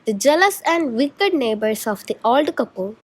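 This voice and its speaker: High-voiced young female storyteller. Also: sing-song, normal speed, a little mellow, breathy edge. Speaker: student narrator. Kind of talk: scripted storybook narration.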